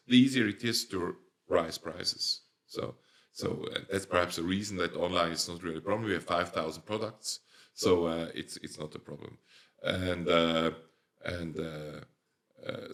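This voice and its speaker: A man with a Swiss German accent, native in Swiss dialect, speaking English haltingly in an interview, often restarting and searching for words. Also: low-pitched, fairly bright tone. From Switzerland. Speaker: nursery company owner. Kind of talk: conversational interview.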